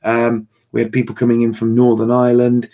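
A man hosts a casual livestream in a tired voice that is a little hoarse. His delivery is halting and rambling, with a mid-range pitch. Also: monotone, dark tone. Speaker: host of a livestream. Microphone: livestream call microphone.

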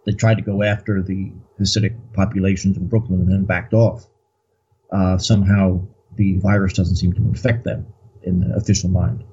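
A man's voice: low-pitched, warm tone, husky, dull audio. A man as a guest commentator, talking in a rambling, hesitant way with many fillers. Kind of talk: casual political discussion.

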